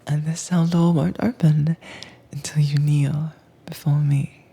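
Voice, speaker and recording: A woman acts out a teasingly dominant tamer of captive werewolves in an intimate ASMR roleplay. Her voice is a very breathy, low whisper with a soft, mellow tone. She speaks slowly, coaxing and commanding with calm control. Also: full character voice. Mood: gently commanding.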